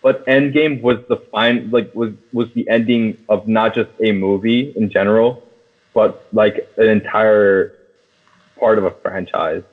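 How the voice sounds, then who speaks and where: Young male podcast co-host, low voice, slangy and enthusiastic, phone-like sound with long reverb. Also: steady tone, measured pace. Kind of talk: casual film discussion among friends.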